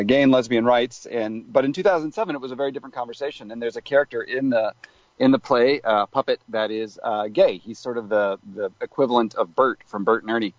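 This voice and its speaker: A male podcast guest talks things through in a thoughtful, hesitant way, pausing on fillers as he builds his point. His voice sits close and dry, and the sound is dull and lo-fi. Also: medium pitch, no echo. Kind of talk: reflective conversational answer.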